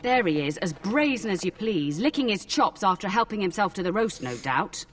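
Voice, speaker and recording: A woman's voice: medium pitch, big pitch swings, normal speed, faint music behind, natural voice. A woman voicing a cranky older castle cook who scolds and blusters, in fantasy video game acting. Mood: grumbling.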